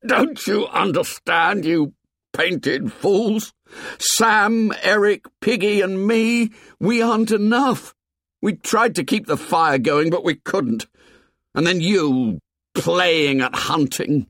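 A man voicing a desperate, earnest schoolboy leader, pleading and shouting in a raised voice. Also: a little high, very animated, measured pace, no echo, clean studio sound, pitched above the performer's own voice. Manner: pleading, rising to angry shouts.

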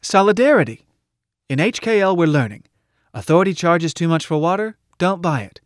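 A man's voice: high-pitched, lively intonation, moderate pace, no echo, voice pushed up. Audiobook rebel firebrand, female male reader: rousing, insistent, punchy slogans in a lifted voice, dry close sound. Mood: rousing, urgent. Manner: emphatic, clipped slogans. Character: Wyoming, fiery rousing female revolutionary orator at a rally.

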